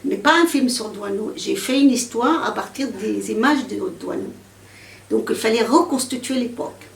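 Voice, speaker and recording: Egyptian woman, hesitant and gravelly. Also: medium pitch. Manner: hesitant, many fillers and restarts. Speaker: poet interviewed. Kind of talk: casual conversational interview. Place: outdoor poetry fair in a city square. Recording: echoey, from a distance, faint outdoor noise.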